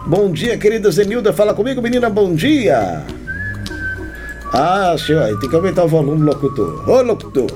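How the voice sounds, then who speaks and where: A man hosting a call-in radio show, greeting callers warmly and humming along. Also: high-pitched, moderate pace, over music. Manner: lively, affectionate, sings along in bits. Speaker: radio host.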